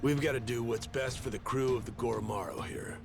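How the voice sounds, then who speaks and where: A man's voice: mid-range voice, fairly even intonation, fairly quick, gravelly, close to an everyday voice. A man playing a wary, hard-bitten middle-aged pirate crewman with a grave, plain-spoken delivery in a video game cutscene. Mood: resigned, insistent. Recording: game music behind the voice.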